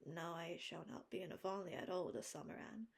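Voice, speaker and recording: Woman voicing a quiet, earnest young man. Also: lowish voice, fairly even intonation, fairly quick, vocal fry, breathy edge. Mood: calm. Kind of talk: audiobook character voice.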